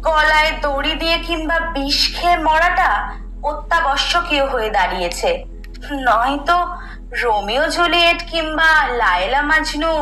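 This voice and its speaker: A woman plays Friendship itself in an audio drama, a firm, wise peacemaker. The voice is high and bright with roomy echo, teaching in emphatic, measured phrases. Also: heavily acted. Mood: critical. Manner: emphatic, didactic, deliberate phrasing. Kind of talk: scripted audio story monologue. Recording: roomy echo, music bed underneath.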